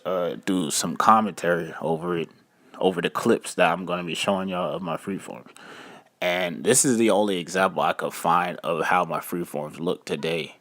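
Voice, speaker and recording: A man's voice: low-pitched, a bit bright. A young man, talking through a casual, chatty voice-over for his vlog with some hesitation. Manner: laid-back, chatty, a bit hesitant. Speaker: vlogger.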